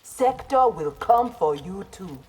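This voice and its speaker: A woman with a Nigerian accent playing a proud, principled armoured ninja in a video game, speaking in measured, formal, defiant lines. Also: mid-range voice, animated, darkish tone, slightly rough. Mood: composed, wry. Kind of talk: video game fight dialogue.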